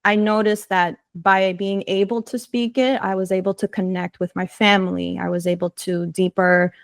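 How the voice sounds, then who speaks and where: Woman, American accent, vocal fry, dry close recording, casual reflective podcast conversation. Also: medium pitch, level tone, normal speed, no echo. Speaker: podcast co-host.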